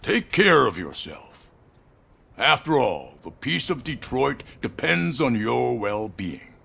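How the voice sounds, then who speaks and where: Slow gravelly old company boss in a video game, polite but controlling, pitch moves around a lot. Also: male voice, big pitch swings, sharp tone, exaggerated voice. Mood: gracious, weary. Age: older. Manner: measured, formal, patrician. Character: courteous, weary old corporate chief, quietly controlling. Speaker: company head. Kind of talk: video game dialogue acting.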